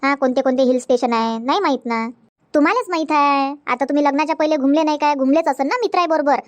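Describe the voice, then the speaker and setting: Woman voicing a young newlywed cartoon wife, high and rapid, nagging, repeats herself. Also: dry recording, natural voice. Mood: pleading. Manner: repetitive, nagging, talks in a rush. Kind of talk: Marathi comedy cartoon dub.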